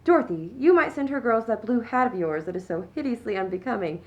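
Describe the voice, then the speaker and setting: A woman's voice: a little high, lively intonation, fast talker, highs cut off, close to an everyday voice. A woman playing a snobbish, conservative society mother in a radio play, speaking in a genteel, condescending manner. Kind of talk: stage-style radio play acting.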